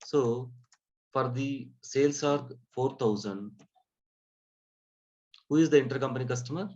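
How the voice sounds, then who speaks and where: A man giving a live software training lesson, explaining step by step in a halting way, often repeating and rephrasing his phrases. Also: medium pitch. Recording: dull, muffled lo-fi audio.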